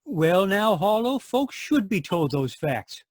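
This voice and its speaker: Man acting coaxing radio commercial sidekick, earnest, high-pitched, very slow, dry sound, old-time style. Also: very animated, no echo, heavily acted. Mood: coaxing, concerned.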